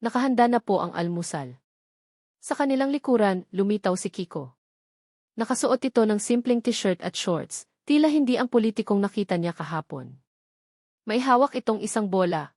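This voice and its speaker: A woman's voice: medium pitch, expressive, normal speed, a little mellow, dry recording, clean studio sound. A woman reading a scripted, dramatic love story as its narrator.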